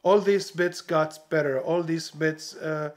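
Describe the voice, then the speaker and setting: A man's voice: fairly high pitch, fairly even intonation, a little slow. A man from Syria, a stand-up comedian, talking casually in a documentary interview about his early years on stage.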